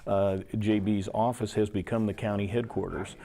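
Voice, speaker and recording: A man's voice: lowish voice, flat delivery, moderate pace, gravelly, mellow. A man who is a local party official, speaking in an interview. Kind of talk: interview answer.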